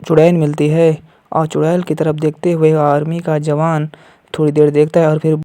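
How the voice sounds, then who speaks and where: A man's voice: on the high side, completely flat delivery, normal speed, warm tone, smooth, no room sound. A man narrating an amateur cartoon story as the storyteller.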